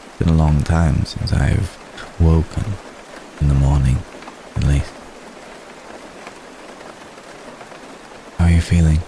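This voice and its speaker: A man playing an ancient, gentle, courtly vampire in an intimate ASMR roleplay, speaking tenderly in measured, formal phrases with hesitant pauses. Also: very deep voice, creaky, noisy background, exaggerated voice. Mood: tender, reassuring. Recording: close recording with steady rain ambience.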